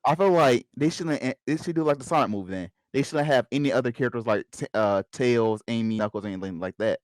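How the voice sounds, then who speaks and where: A man's voice: medium pitch, fast, dry recording. A young American man co-hosting a podcast, debating casually with his friends. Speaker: podcast co-host.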